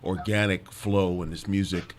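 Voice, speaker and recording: A man's voice: sits low, steady tone, normal speed. A man talking earnestly and emphatically in a casual interview. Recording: background chatter and faint noise.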